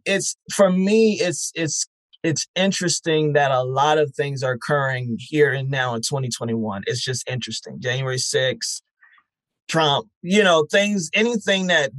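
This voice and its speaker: A man's voice: fairly high pitch, a bit bright, no room sound. A man being interviewed as the founder of a festival, talking frankly and reflectively with easy laughter. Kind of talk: casual interview chat.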